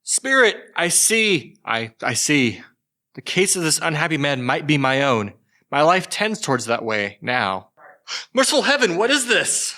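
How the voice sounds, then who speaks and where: High, bright, earnest old Scrooge, played by a man. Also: sing-song, measured pace, heavily acted. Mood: shaken. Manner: earnest, pleading. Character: frightened, repentant old miser Ebenezer Scrooge. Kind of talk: theatrical audio drama acting.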